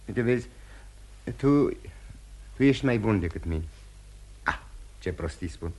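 An actor playing a dissolute, self-loathing husband in radio theatre, halting and repeating words theatrically. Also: male voice, lowish voice, animated, mellow, husky. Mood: bitter, self-loathing. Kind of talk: mid-20th-century radio theatre acting. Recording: old radio drama, music behind.

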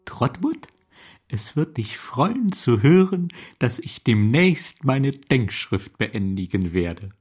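An actor playing an elderly, childlike eccentric, confiding in a breathy, husky voice in a radio drama. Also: male voice, mid-range voice, very animated, a little mellow, full character voice. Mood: confiding, delighted. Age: older. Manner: slow, confiding, swooping intonation. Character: elderly, childlike, delighted eccentric old man.

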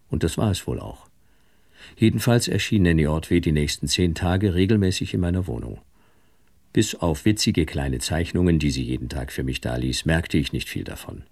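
An actor playing a worldly, detached married man, narrating and speaking in a radio drama with a husky voice. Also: sits low, a little mellow, no room sound, heavily acted. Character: worldly, detached married man recounting his own story. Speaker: narrator and male lead. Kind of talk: first-person radio drama narration and dialogue.

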